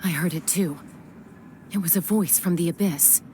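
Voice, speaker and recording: Low-voiced actress as a resolute warrior woman. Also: moderate pace, very noisy, natural voice. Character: composed, resolute female warrior leader. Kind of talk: video game voice acting.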